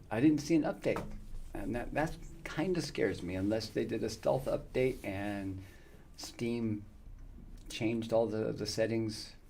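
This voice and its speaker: Fifty-something male streamer, low gravelly voice, friendly rambling chat, faint noise behind. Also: warm tone. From United States. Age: 50s. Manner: friendly, rambling, many uh fillers. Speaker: gaming streamer. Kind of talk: casual live-stream chat with viewers.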